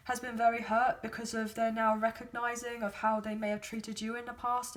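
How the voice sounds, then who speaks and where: A woman gives a chatty, rambling tarot reading, filling it with hedges and ums. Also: fairly high pitch. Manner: chatty, rambling, hedging with many fillers. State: sore throat, losing her voice. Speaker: tarot card reader. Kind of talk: casual spoken tarot reading.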